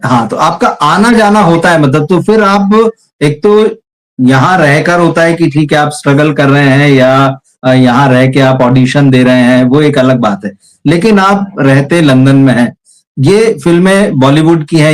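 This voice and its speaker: A man's voice: fairly high pitch, measured pace. A man hosting an interview, asking long rambling questions and nodding along with short acknowledgments. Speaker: interviewer, host.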